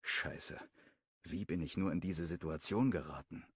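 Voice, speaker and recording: A man narrating a first-person romance audiobook in a calm, wry tone. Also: sits low, a little slow, slightly rough, no room sound, studio quality.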